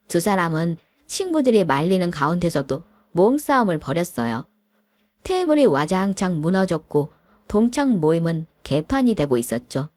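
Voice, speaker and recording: A woman voicing a middle-aged husband who calmly retells how his wife fought an old classmate, in polite storybook narration. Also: fairly low pitch, no room sound. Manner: measured, recounting in polite speech.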